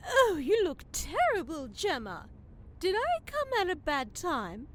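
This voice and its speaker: Woman doing a snooty fairy lady, high voice, very up n down, judgy, audio comedy. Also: wildly swooping pitch, measured pace, fairly bright tone, heavily acted. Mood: disdainful, snobbish. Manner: snobbish, disdainful, theatrical. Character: haughty, critical traditional fairy woman visitor. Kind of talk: comedy audio drama acting.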